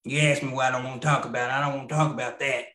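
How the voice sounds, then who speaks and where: A man voicing a grumpy old married man puppet, gruff and reluctant, over a video call from an echoey room. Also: medium pitch, fairly even intonation, very fast, sharp tone, pitched below the performer's own voice, lightly acted. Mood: grumbly. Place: home living room.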